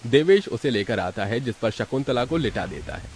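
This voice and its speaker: A man's voice: mid-range voice, animated, moderate pace, a little hiss or hum. A man reading scripted narration as the narrator of a story.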